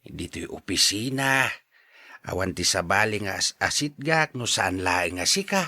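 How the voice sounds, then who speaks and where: A man's voice: low-pitched, sing-song, unhurried, bright, forceful tone, no room sound, exaggerated voice. A man acting a grateful, deferential janitor in a radio drama, with a friendly manner.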